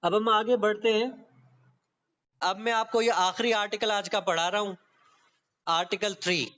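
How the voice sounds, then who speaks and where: A man's voice: high-pitched, steady tone, a little slow, bright, forceful tone, dull audio. A man lecturing online on law, explaining step by step didactically and mixing English terms into his speech. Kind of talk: online exam-prep lecture, explaining and reading out clauses. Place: online meeting class.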